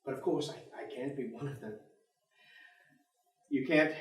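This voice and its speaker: A man's voice: medium pitch, a little mellow, natural voice. A man on stage playing a bitter, articulate atheist professor who longs for death, in a theatrical declamatory delivery. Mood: grim yearning. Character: bleak, bitter, intellectual atheist male professor longing for death. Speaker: stage actor in a play. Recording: echoey theatre stage, distant microphone.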